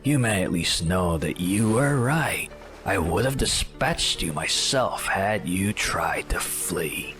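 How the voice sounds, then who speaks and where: An actor playing a haughty, menacing ancient sea-raider captain in an audio drama, speaking with slow, deliberate contempt. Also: male voice, low-pitched, lively intonation, fairly bright tone, faint music behind, heavily acted. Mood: amused contempt.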